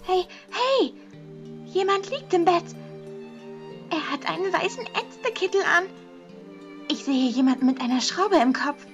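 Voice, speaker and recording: A woman's voice: extremely high pitch, expressive, measured pace, pauses often, lo-fi sound, music in the background. A woman voicing a childlike, carefree, curious teenage girl in a German fan-dubbed anime audio drama. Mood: playful.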